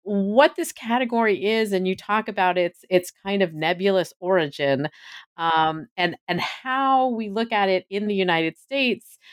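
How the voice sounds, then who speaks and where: A woman interviewing an author, framing her questions in long, measured sentences. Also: mid-range voice, clean tone, no echo, studio quality. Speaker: podcast interviewer.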